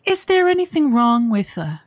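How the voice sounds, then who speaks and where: A woman's voice: on the high side, big pitch swings, normal speed, dry recording. A woman playing an opinionated advice show host in improvised comedy, talking conversationally and emphatically.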